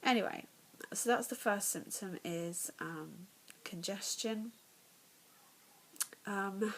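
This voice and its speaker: A woman's voice: medium pitch, slight background noise, poor audio. A young English woman vlogging casually about her pregnancy, congested and nasal, chatty and candid, often dropping to a whisper, at home in the evening. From England.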